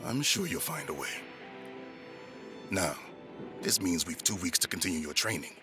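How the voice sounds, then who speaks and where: A man playing a stern, fatherly first mate in an audio drama, speaking firmly and in measured words. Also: sits low, big pitch swings, music in the background. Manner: firm, measured.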